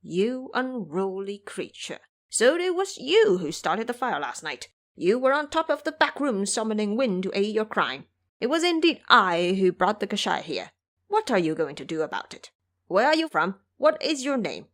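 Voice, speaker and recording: A woman voicing a scornful male monster king in an audio drama, speaking lower than her own voice. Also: sing-song, moderate pace, fairly bright tone. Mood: sneering. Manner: sneering, scornful.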